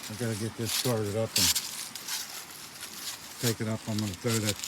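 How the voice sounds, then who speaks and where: A man's voice: fairly low pitch, little pitch movement, normal speed. An older man talking casually in a plain, folksy way as he rambles through a walkaround commentary outdoors. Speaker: vlogger showing around own farm. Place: outdoors on a rural farm. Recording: noisy outdoor recording with loud ambient noise.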